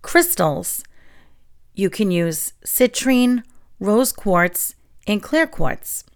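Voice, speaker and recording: A woman's voice: medium pitch, sing-song, drawn-out words, clear, resonant tone. A young woman from New England hosting a casual solo podcast, chatting and reading aloud while getting over a sore throat. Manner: chatty and friendly, with fillers and soft laughs. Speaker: podcast host.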